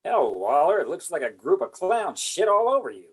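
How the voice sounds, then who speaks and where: A man's voice: on the high side, big pitch swings, normal speed, slightly rough. A man playing an easygoing, hearty military squad leader with breezy delivery in an amateur table read. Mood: mocking.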